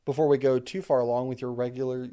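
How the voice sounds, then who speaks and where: A man's voice: medium pitch, little pitch movement, quick pace, warm tone, smooth. Joking man co-hosting a podcast. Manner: jokey, laughs often, trips over his words. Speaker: podcast co-host. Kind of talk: casual podcast chat with a mock ad read.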